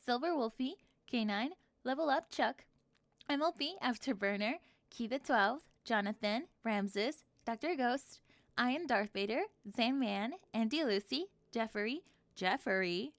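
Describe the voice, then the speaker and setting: High-voiced woman, dry close sound. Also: lively intonation, measured pace, no room sound. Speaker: channel host. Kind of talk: casual video outro with supporter shout-outs.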